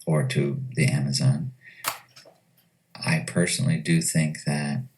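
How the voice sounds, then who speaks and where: A man giving reflective, measured interview answers, pausing often as he thinks. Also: very deep voice, level tone, a little mellow, slight background noise. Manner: measured, thoughtful, hesitant with pauses. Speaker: retreat director being interviewed. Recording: slightly distant microphone.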